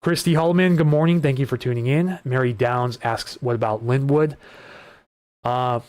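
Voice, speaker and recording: Slightly hoarse man hosting live weather coverage. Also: medium pitch, fairly even intonation, normal speed, darkish tone, no echo. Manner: conversational, repeats words and restarts while reading data. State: voice getting hoarse. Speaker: weather livestream host. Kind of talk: live weather coverage, reading maps and viewer questions.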